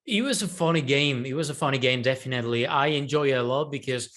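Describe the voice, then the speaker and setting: Halting, passionate man football pundit. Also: medium pitch. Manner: hesitant, many restarts, animated. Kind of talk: casual football analysis.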